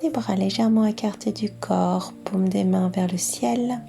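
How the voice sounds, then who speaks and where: A woman guiding a yoga relaxation session as instructor, speaking in a soft, breathy voice with calm, soothing instructions. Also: medium pitch, faint music behind. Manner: slow, calm, soothing instructions with long pauses. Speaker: yoga nidra instructor and guide. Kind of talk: guided relaxation meditation.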